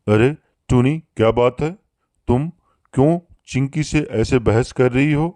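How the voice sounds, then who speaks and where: A callous cartoon pigeon father, played by a man, talks slowly in a mid-pitched voice. He is curt and dismissive, gruffly bossing others around. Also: mellow, dry recording, studio quality, lightly acted. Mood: questioning. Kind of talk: Hindi moral-story cartoon dub.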